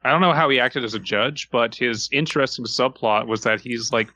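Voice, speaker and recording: A man with a bright, forceful voice at a middling pitch co-hosts a comedy podcast. He chats casually, rambling in a wry way and dropping swear words. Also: fairly quick, dry recording. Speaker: podcast co-host.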